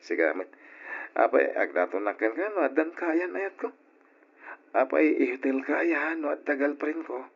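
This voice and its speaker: A man with an Ilocano Filipino accent playing a smooth-talking, callous philanderer in a radio drama. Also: on the high side, expressive, unhurried, pauses often, full character voice. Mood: flirtatious, coaxing. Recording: dull old radio drama recording.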